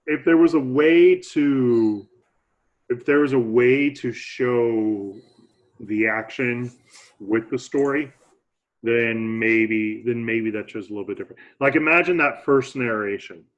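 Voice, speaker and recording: A man co-hosting a casual movie podcast at an ordinary pitch. He talks in a laid-back, hesitant way, often restarting his sentences, and the recording sounds a little dull and lo-fi. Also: a little slow. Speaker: podcast co-host. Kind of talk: casual movie-review chat.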